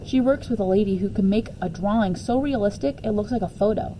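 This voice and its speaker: A woman's voice: medium pitch, a little fast, mellow, slight background noise, rough recording. A woman reading a children's novel aloud expressively in the first person, as a storyteller. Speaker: teacher reading a book aloud.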